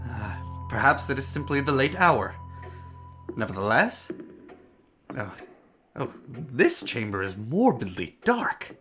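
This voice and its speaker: Haughty, frightened prince, swooping delivery. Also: male voice, mid-range voice, sing-song, slow, long pauses, a bit bright. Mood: uneasy. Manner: imperious, stammering in dread. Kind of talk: gothic audio drama acting. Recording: music behind.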